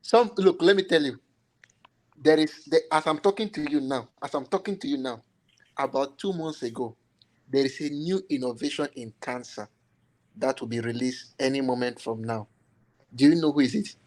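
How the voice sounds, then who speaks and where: A man's voice: medium pitch, normal speed, a little mellow. A man born in Cameroon to Nigerian parents, cutting in insistently during a heated panel discussion. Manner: insistent, keeps breaking in to take the floor. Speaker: panel guest.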